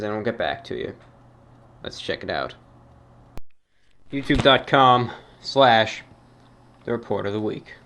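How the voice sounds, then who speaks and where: A man hosting his own show, reading fan emails aloud and answering them in a formal, measured, somewhat halting way. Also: medium pitch. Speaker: host reading fan mail. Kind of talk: radio-style monologue reading emails.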